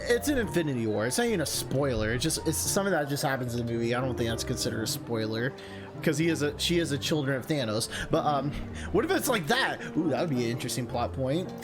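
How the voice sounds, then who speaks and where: A man's voice: medium pitch, big pitch swings, fast talker. A man hosting a casual, chatty let's-play, rambling and interrupting himself with enthusiastic asides. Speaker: video game streamer and host. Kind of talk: casual, chatty let's-play commentary with some read-aloud game text. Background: game background music.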